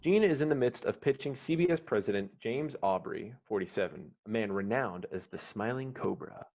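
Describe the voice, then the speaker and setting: Low-voiced man narrating, dull recording. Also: animated, normal speed, a little mellow. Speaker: stage directions narrator. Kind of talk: dramatic scripted narration. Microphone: online call microphone.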